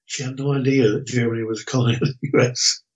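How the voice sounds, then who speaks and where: A man's voice: medium pitch, fairly even intonation, fast talker. A man chatting casually in a hesitant, rambling way, telling anecdotes as a regular on a talk show. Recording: dull lo-fi voice connection.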